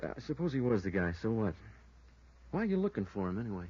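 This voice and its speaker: A dry, slightly dull vintage radio sound carries a small-town American deputy sheriff. He is husky and mellow, laconic and skeptical, with a folksy way of talking. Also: on the low side, big pitch swings, quick pace. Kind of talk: 1950s radio drama acting.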